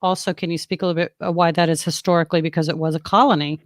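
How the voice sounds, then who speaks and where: A woman hosts an interview in a somewhat low voice, recorded dry and close. She asks long framing questions and fills gaps with frequent encouraging murmurs. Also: steady tone, racing, no echo. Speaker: host.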